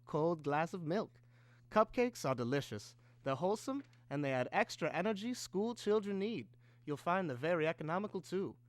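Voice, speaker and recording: A man's voice: a little high, no echo. A man as sponsor spokesman reading a 1950s-style radio commercial in an instructive, salesmanlike way. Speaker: sponsor spokesman, home economist.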